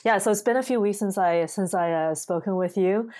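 A woman in her thirties from China, co-hosting a casual podcast, talking thoughtfully with frequent pauses and restarts. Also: medium pitch, mellow, no room sound. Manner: thoughtful, many fillers and restarts. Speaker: podcast co-host.

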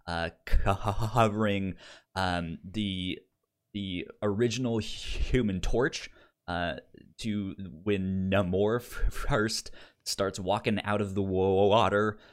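Low-voiced man with a stutter. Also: fairly even intonation. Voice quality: stutter, repeating sounds and syllables. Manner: halting delivery with stuck words. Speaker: podcast host. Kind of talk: casual podcast chat.

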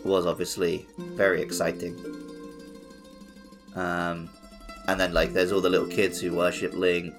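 A man's voice: low voice, little pitch movement, a little slow, pauses often, over music. A man chatting casually as co-host of a gaming podcast.

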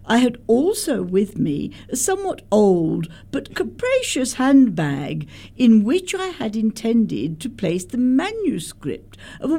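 A woman's voice: mid-range voice, dark tone. A woman playing a prim, flustered governess, earnest and melodramatic, in a comic radio play. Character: prim, flustered, guilt-ridden spinster governess. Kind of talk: stage comedy radio acting.

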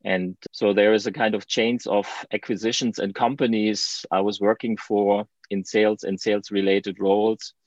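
A man's voice: fairly low pitch, little pitch movement, normal speed, few pauses, darkish tone, no echo. A man from Germany with a German accent, talking as a guest in a conversational interview, chatty, with restarts and light laughs. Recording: dull, lo-fi sound.

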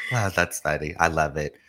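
Low-voiced guy hosting a chill podcast, friendly and upbeat, laughs easy. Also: sharp tone. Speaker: podcast host. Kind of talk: casual podcast interview.

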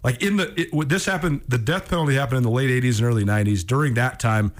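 A male American sports radio host with a mid-pitched voice. He chats casually and tells stories with conversational emphasis. The setting is a radio studio. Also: rapid-fire. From Montana, United States. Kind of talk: casual radio chat, storytelling.

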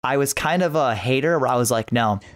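A man's voice: mid-range voice, a little fast. A man chatting casually as a guest on a podcast. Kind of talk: casual chat.

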